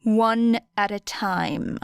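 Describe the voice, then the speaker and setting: A commanding heroine with cold swagger, voiced by a woman narrator. She speaks slowly and firmly at a medium pitch, harsh and authoritative, in a dry audiobook recording. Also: vocal fry, clear, resonant tone, no echo. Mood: cold anger. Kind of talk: audiobook character acting.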